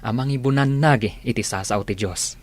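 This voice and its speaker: A man's voice: mid-range voice, a little fast, dry recording, natural voice. A man with an Ilocano accent playing an earnest, devout young seminarian in a radio drama.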